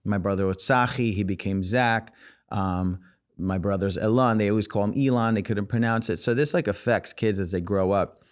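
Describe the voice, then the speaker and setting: A man's voice: fairly low pitch, normal speed. A man talking as a podcast guest in a casual interview, rambling with lots of "like" and "you know what I'm saying". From United States, Israeli family. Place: podcast studio.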